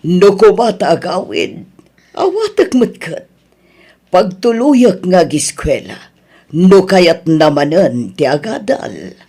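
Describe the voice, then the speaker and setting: A woman playing a worried middle-aged mother with a husky voice in an audio drama. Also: on the low side, very animated, takes it slowly, mellow, full character voice. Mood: worried, longing. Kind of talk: Ilocano audio drama acting.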